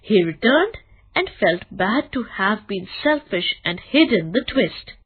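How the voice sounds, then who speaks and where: A woman narrating a scripted children's bedtime story in a deliberate, expressive way. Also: medium pitch, breathy edge, phone-quality sound.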